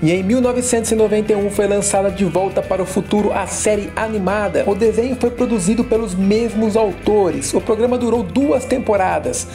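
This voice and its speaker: A woman's voice: mid-range voice, a little fast, hardly any pauses, dark tone. Brazilian woman narrating over music. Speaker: host and narrator of a retro nostalgia video channel. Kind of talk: scripted voice-over narration with casual asides. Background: background music.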